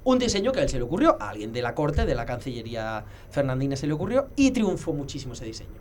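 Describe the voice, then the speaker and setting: A man, a guest expert explaining history in casual conversation, lecturing with many pauses and restarts. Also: mid-range voice, big pitch swings, slight background noise.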